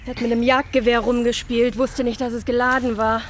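Radio drama role: puzzled female jogger played by an actress, very high voice, questioning, noisy outdoor ambience. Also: little pitch movement, fairly quick, lightly acted. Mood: matter-of-fact. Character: chatty, puzzled woman out jogging. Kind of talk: naturalistic German radio crime drama.

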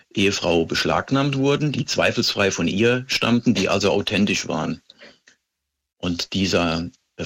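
A middle-aged man answering interview questions as a police expert, explaining in a methodical, precise and measured way. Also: low-pitched, a bit bright, breathy edge.

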